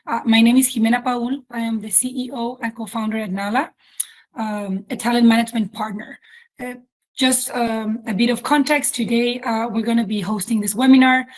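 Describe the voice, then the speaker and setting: A woman's voice: on the high side, fairly even intonation, normal speed, darkish tone, low-quality recording. A woman with a Chilean accent and Spanish as her first language, hosting a webinar in an informal, explanatory way with hesitant phrasing. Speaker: webinar host, company chief executive. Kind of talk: webinar presentation.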